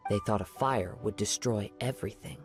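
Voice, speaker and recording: An actor playing a calm young wandering samurai in a video game, speaking in a measured, formal, reflective way. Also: male voice, lowish voice, soft background music, lightly acted. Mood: pondering. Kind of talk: video game character acting.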